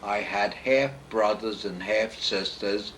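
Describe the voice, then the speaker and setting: An older man in his eighties, answering family questions in a slow, halting, deliberate way at home. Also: lowish voice, fairly bright tone, slight background noise. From United States, born in Poland. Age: 80s. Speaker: interviewee, family elder. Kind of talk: home video family interview. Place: home in a retirement community.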